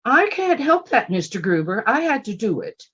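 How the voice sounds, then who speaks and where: A woman's voice: a little high, sing-song, moderate pace, a bit bright, breathy edge, exaggerated voice. A distressed young housekeeper in a Western radio play. Her apologetic voice rises and falls expressively, in a slightly muffled, lo-fi recording. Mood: pleading. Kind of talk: Western radio drama acting.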